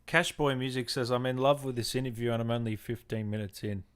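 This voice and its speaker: Guy hosting a gaming chat, medium pitch, matey, asking questions casually. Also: steady tone, a little fast, smooth. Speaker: gaming show host, interviewer.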